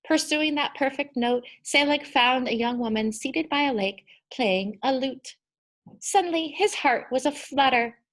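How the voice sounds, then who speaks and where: A woman reading a children's story aloud in an expressive, deliberate and emphatic storytelling style. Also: high voice. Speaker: storybook reader.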